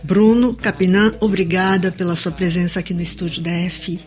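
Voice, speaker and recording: Woman, Brazilian accent, radio interviewer, medium pitch, studio interview, partly scripted delivery. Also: level tone, fairly quick, a little mellow.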